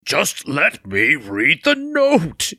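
A man playing a dim, eager, childlike villain's henchman, earnest and simple, with a rough voice. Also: a little high, huge pitch swings, very slow, pushed and bright, dry recording, clean studio sound, heavily acted. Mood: earnest, insistent.

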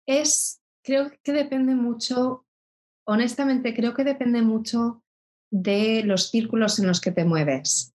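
A woman's voice: on the high side, steady tone, slow pace, darkish tone. Woman explaining thoughtfully. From Spain. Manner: thoughtful, explanatory, frequent restarts. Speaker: intercultural educator. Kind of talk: reflective interview talk.

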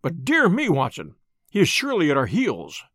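A man reading the part of a calm, courteous gentleman detective in an audiobook story, measured and polite with dry understatement. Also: fairly high pitch, no room sound, full character voice. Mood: wry, thoughtful.

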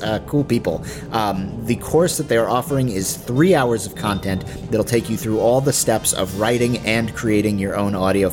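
A man hosting a podcast, reading sponsor messages in a conversational way with informal asides. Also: lowish voice. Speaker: podcast host. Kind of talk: casual sponsor read. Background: faint music.

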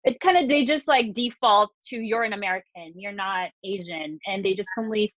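A woman's voice: mid-range voice, brisk, fairly bright tone, no room sound, dull audio. A woman from the Philippines talking casually, with many fillers and restarts. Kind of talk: casual conversation.

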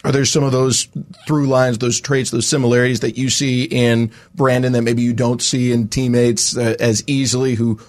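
A man's voice: mid-range voice, level tone, moderate pace, no echo. A man hosting a sports podcast interview in a polished broadcaster's style. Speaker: sports podcast host and radio play-by-play broadcaster.

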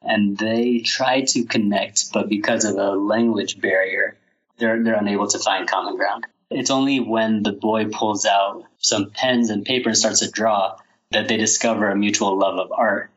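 A man's voice: lowish voice, steady tone, normal speed, fairly bright tone, muffled recording. A man being interviewed about his picture book, answering with small restarts and repeated words. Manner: hesitant, repeats words, laughs easily. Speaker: author, guest.

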